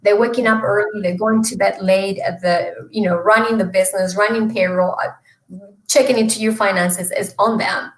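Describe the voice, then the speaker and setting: Woman from mexico chatting on a video call, earnest, lots of ums, middle pitched voice, roomy sound. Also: steady tone, normal speed. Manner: earnest, with many fillers and restarts. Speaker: guest, small business loan expert. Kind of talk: casual interview chat. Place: home room.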